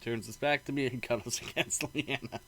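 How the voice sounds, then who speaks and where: A man reading a visual novel aloud in an expressive, playful narrating style. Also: medium pitch, a little fast.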